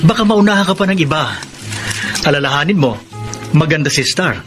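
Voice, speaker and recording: An actor as a brash Filipino ladies' man, the teasing best friend in a radio romance. His highish voice swings widely in pitch, and music plays behind it. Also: animated, fairly quick, natural voice. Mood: cocky, urging. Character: cocky, teasing womanizer buddy, Filipino man. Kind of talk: Tagalog radio romance drama acting.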